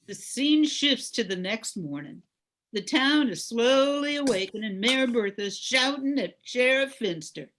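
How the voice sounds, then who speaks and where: A woman's voice: a little high, animated, unhurried, fairly bright tone, a little hiss or hum. An older woman reading scripted narration for an amateur old-time radio comedy.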